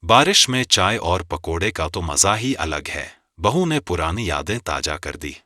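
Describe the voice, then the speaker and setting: A man's voice: low-pitched, sing-song, a little slow, pushed and bright, no echo, studio quality, heavily acted. A man voicing an older, practical head of the family in a cartoon drama, with emphatic delivery. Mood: pleased. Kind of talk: animated family drama dialogue.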